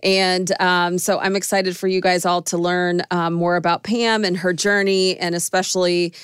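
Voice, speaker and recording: Upbeat woman podcast host, smooth voice. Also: on the low side, little pitch movement, normal speed, no room sound, clean studio sound. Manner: upbeat and enthusiastic, many fillers. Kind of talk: casual interview hosting.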